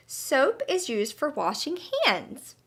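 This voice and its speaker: A woman's voice: high-pitched, sing-song, slow, fairly bright tone, pitched above the performer's own voice, heavily acted. A woman voicing a kindly, patient female butterfly who teaches in an encouraging, emphatic way in a children's storybook. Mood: instructive. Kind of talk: children's storybook read-aloud.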